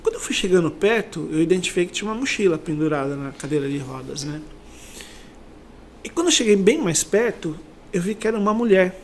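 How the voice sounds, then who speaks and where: A man's voice: sits high, animated, a little fast, a little hiss or hum. A middle-aged Brazilian man speaking as an interview guest, telling personal motivational stories in a reflective, conversational way and often checking for agreement. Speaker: interview guest, company sales director.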